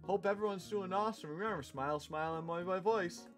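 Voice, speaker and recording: A man's voice: high voice, quick pace, pitched above the performer's own voice, close to an everyday voice. A man voicing a perky young woman working in a maid cafe, with sing-song forced cheer, in a visual novel. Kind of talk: visual novel character read-aloud. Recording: music behind the voice.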